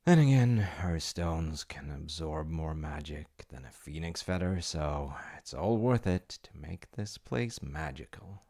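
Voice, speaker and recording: Guy playing a eager secret wizard boyfriend, gravelly and slow, polite theatrical asmr roleplay thing. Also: a bass voice, lively intonation, mellow, full character voice. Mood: muttering to himself. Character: eager, secretly magical wizard fiance running an enchantment business. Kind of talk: ASMR audio roleplay acting.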